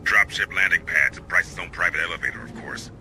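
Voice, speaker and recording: A man playing a steady, confident security officer who guides marines with dry authority in a video game. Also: deep voice, a little fast, a little hiss or hum. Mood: calm, resolute. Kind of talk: scripted video game dialogue.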